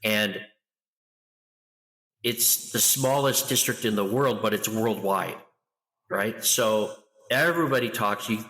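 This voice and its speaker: A middle-aged man talking loudly and with lively energy as a guest in an interview. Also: fairly low pitch, slow pace, long pauses, long reverb tail, low-quality recording. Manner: loud, animated storyteller. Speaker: guest, international school educator. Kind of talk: conversational interview.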